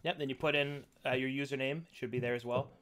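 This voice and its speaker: A man's voice: mid-range voice, fairly even intonation, fast talker. A man acting as dungeon master, chatting casually with players and walking them through setup. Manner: laid-back, talks players through software setup. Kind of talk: casual chat setting up a game.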